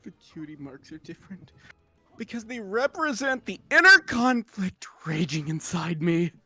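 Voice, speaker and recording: A man's voice: high-pitched, big pitch swings, normal speed, bright, forceful tone, breathy edge, no room sound, soft background music, exaggerated voice. Man putting on a melodramatic female alicorn pony. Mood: brooding, melodramatic.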